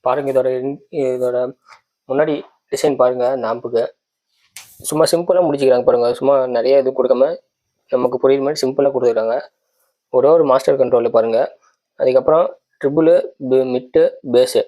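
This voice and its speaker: A man's voice: medium pitch, fairly even intonation, brisk, pauses often, mellow. A man giving a casual product walkthrough, explaining step by step and often checking that listeners follow. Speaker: tech channel presenter.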